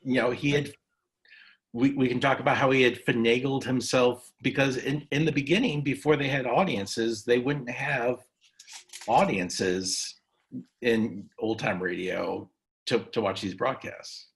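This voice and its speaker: A man's voice: medium pitch, measured pace. A man hosting a casual interview, telling anecdotes in a rambling, enthusiastic way. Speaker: interviewer, session host.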